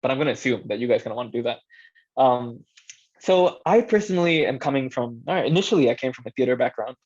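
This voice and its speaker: A man's voice: mid-range voice, lively intonation, fast talker, darkish tone. A man giving an informal workshop talk as presenter, conversational, thinking aloud and checking in with his listeners. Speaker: workshop presenter, audio drama director. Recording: dull, lo-fi band-limited sound.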